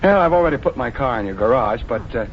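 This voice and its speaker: An actor in a 1940s radio drama playing a nervous, polite young stranger with a hesitant, apologetic manner. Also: male voice, mid-range voice, lively intonation, fast, close to an everyday voice. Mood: ingratiating, nervous. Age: young adult. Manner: hesitant, apologetic, over-explaining. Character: nervous, shifty, polite young male stranger seeking lodging. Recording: muffled, noisy vintage radio recording.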